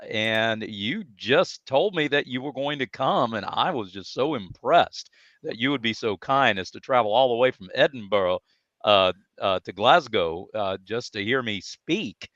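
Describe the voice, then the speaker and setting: An American man with an average-pitched voice talks casually as a guest on a podcast. He is enthusiastic, effusive and polite as he tells his stories. The sound is dry and a little muffled. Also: lively intonation, a little slow, fairly bright tone, dry recording. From United States. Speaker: podcast guest, data speaker. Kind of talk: casual conversational storytelling. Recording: dry, slightly dull audio.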